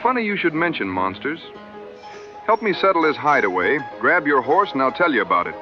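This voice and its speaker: An actor playing a confident, plainspoken American frontier hunter with laconic, folksy dry humor in a western audio drama. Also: male voice, fairly high pitch, sing-song, brisk, dull audio, music in the background. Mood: wry, easygoing.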